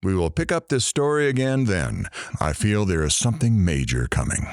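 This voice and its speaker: A man's voice: low-pitched, moderate pace, dry recording, studio quality. A man playing a chatty, joking radio talk show host in an audio drama. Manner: animated, joking.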